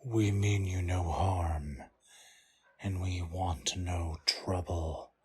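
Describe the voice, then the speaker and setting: Wolf-folk tribesman male actor, low and very breathy, slow, humble and cautious, fantasy roleplay. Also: steady tone, warm tone, soft background music, heavily acted. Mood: hesitant, pleading. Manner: hesitant, deferential. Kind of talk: ASMR roleplay acting.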